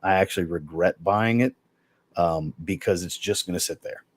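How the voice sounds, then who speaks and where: A man hosting a casual livestream podcast chat over a video call, relaxed and reading out viewer comments as he goes. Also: deep voice, normal speed, no room sound. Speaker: podcast host.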